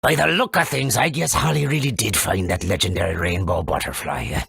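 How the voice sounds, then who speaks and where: A man voicing an excitable, gruff little boss of small creatures in a video game, raspy and creaky. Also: low-pitched, fairly quick, no room sound, studio quality, exaggerated voice. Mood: scheming. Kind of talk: video game character acting.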